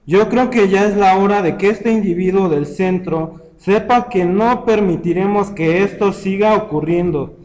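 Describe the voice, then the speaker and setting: A man's voice: sits high, unhurried, few pauses, a little mellow, voice pushed up. A man voicing a defiant young male worker who rallies his comrades in a declamatory, rhetorical speech. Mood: defiant, indignant. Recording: long hall-like reverb.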